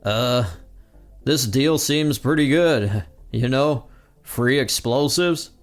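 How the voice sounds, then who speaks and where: A dopey teenage cartoon slacker , as a man acts him out, crude and slow on the uptake. The words come in a hesitant, gravelly drawl over music. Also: mid-range voice. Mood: dopey, agreeable. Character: dim-witted, crude, sniggering teenage slacker boy.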